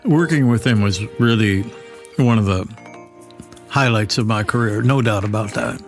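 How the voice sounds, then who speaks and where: A man's voice: lowish voice, moderate pace, faint music behind. A middle-aged or older man hosting a casual podcast, talking in a rambling, self-deprecating, conversational way. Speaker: podcast host and voice actor.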